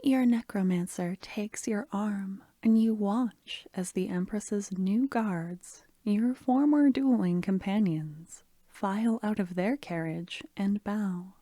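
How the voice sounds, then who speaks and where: Soft spoken asmr lady telling a story, breathy n slow, gentle, half whispering. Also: mid-range voice, no echo. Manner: gentle, soothing, measured. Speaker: ASMR storyteller and channel host. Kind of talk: softly spoken scripted second-person narration.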